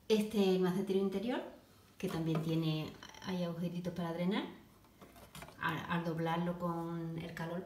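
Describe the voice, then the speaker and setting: A woman narrating her own home craft project step by step, talking haltingly as she works in a kitchen. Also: lowish voice. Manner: halting, talks while working, frequent restarts and soft asides. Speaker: DIY video maker. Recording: echoey room with background noise.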